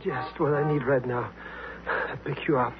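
A man's voice: medium pitch, steady tone, normal speed, close to an everyday voice. An actor playing a grumbling comic sidekick who mangles his words in a 1950s radio drama. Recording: noisy, muffled old radio recording.

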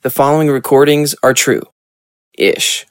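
A man reading a scripted podcast intro in a deadpan way. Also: mid-range voice, normal speed. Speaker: podcast announcer.

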